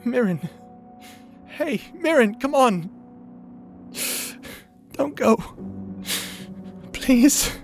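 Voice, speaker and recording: A performer playing an insecure, emotional young male wizard, earnest and halting, in a fantasy audio roleplay. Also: mid-range voice, lively intonation, soft background music. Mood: desperate, pleading. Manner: halting, stammering, pleading.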